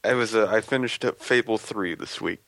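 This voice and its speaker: A man's voice: fairly low pitch, a bit bright, no room sound. A man chatting on a podcast who often stumbles and restarts his sentences. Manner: halting, stumbles over his words. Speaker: podcast co-host. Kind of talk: casual chat.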